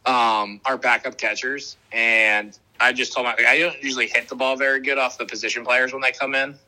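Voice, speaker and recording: A young man from the Boston area talks through a video call, his voice strikingly bright and tight. He rambles casually, restarting sentences and leaning on fillers, at an ordinary mid pitch. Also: little pitch movement, brisk, low-quality recording. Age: young adult. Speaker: minor league baseball player, guest. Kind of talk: casual interview answers.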